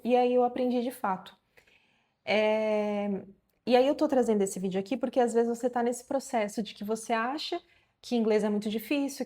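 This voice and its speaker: Brazilian woman, thirties, vlogger; mellow, slightly high voice, loose and encouraging delivery. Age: 30s. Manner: chatty, encouraging, many fillers and restarts. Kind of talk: casual talk to camera.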